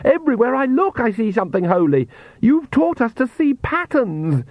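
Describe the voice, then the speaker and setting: A man voicing a sharp-tongued, furious young vampire woman who snarls scornfully at her father. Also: on the high side, very animated, moderate pace, no echo, narrow, tinny audio, voice pushed up. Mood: hysterical fury.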